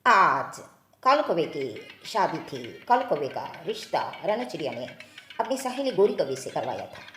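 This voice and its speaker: A woman narrates a cartoon story from a script. Her intonation moves up and down in a lively way. The recording carries clear room echo. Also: on the low side, moderate pace, slight background noise.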